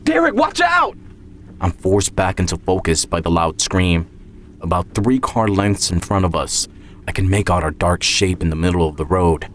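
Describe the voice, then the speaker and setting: A man's voice: low voice, steady tone, normal speed, a little hiss or hum. A man reading a scripted first-person dramatic story as its author and narrator. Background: music.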